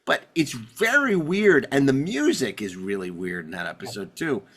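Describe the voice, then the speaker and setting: A man hosting a podcast, chatting casually about sci-fi television in a rambling, excitable way with stammered repeats. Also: mid-range voice, moderate pace. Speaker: podcast host.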